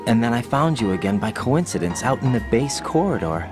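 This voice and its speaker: An earnest young male special forces agent played by a man in a video game, speaking at a medium pitch. He questions and reports seriously, with music clearly behind him. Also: big pitch swings, brisk, lightly acted. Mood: reflective. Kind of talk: video game voice acting over radio call.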